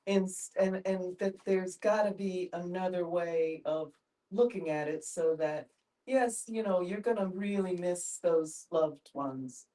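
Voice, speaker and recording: An older woman speaking reflectively in a group discussion, haltingly searching for her words. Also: fairly low pitch, warm tone. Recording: distant microphone.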